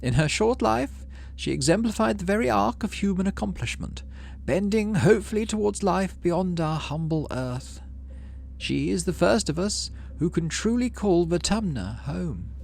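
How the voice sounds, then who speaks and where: A man voicing a solemn female colony governor in a game, speaking a funeral eulogy in slow, measured, grave oratory. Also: high voice, very animated, vocal fry, darkish tone, slight background noise, pitched above the performer's own voice, exaggerated voice. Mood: solemn, grieving.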